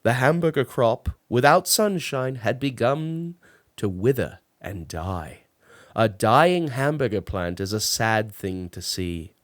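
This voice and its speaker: A man reading a children's storybook aloud as an expressive narrator. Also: medium pitch, slow, no room sound, studio quality. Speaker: storybook reader.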